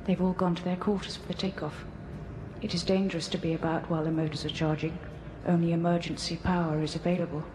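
A woman's voice: fairly low pitch, level tone, quick pace, background noise, natural voice. An actress playing a cool, manipulative female computer persona in a science fiction radio drama, speaking in formal, precise sentences. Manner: matter-of-fact, formal, precise wording.

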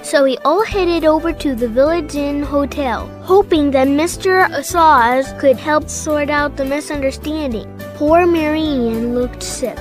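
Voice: sits high, a little slow, few pauses, over music. A performer playing an earnest, chatty eight-year-old boy who tells his story plainly in a radio drama. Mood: earnest narrating.

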